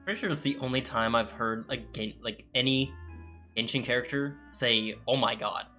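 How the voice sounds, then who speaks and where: Very low-voiced woman gamer. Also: normal speed, sharp tone. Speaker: gamer, channel host. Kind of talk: casual let's-play commentary. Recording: game music behind.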